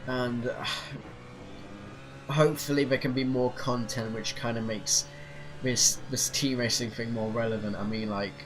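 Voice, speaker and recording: Man, stuffy nose from a cold, rambling, hesitant, mid pitch, racing game engine droning behind. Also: fairly even intonation, a little slow, pauses often. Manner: rambling, hesitant, many fillers and restarts. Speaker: sim racing channel host. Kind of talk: casual rambling commentary while driving a racing sim. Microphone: off-center desk mic. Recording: clear sim engine noise behind. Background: racing game engine noise.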